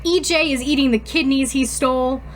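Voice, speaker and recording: A woman's voice: high-pitched, normal speed, a little hiss or hum. A woman co-hosting a podcast, chatting casually and reading fan fiction aloud in an irreverent, slangy way. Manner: irreverent, slangy, swears freely. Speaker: podcast co-host.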